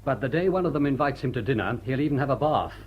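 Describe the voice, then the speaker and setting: Husky, dry-witted butler. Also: male voice, mid-range voice, lo-fi sound. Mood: wry. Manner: measured, deadpan, formal. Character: suave, dry-witted butler, coolly deferential. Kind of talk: period stage comedy radio drama.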